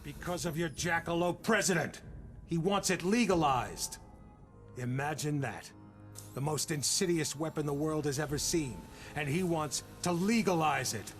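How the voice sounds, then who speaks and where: An actor playing a bitter, commanding older intelligence official in a video game cutscene, speaking with cynical authority and a short temper. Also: male voice, a little high, moderate pace, fairly bright tone, soft background music, close to an everyday voice. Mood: angry, scornful.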